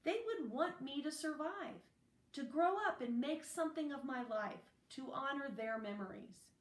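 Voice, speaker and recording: A woman's voice: high-pitched, animated, a little slow, pitched above the performer's own voice. A woman voicing an orphaned refugee boy in a storybook, desperate yet determined, with pleading, emphatic delivery. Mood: anguished, resolving. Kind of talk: storybook character reading. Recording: roomy, echoey, distant microphone.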